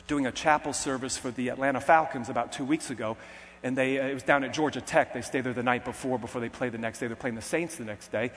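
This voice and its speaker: A man preaching a sermon, putting rhetorical questions to his listeners and often checking in with "okay?". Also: mid-range voice. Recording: long hall reverb.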